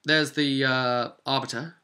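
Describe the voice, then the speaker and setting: A man with a bright, forceful voice of middling pitch tells the story of a tabletop role-playing session. He speaks informally as the game master, animated in his retelling and briefly voicing what characters said. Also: unhurried. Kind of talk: informal storytelling recap to camera.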